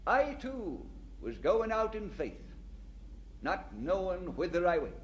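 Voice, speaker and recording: A devout young man with a Scottish accent in an audio drama. His voice sits a little high and swings expressively, earnest and polite throughout. There is slight noise in the background. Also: sing-song, slow pace, lo-fi sound, full character voice. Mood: fervent. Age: young adult. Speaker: actor and narrator in an audio drama. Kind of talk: audio drama acting with first-person narration.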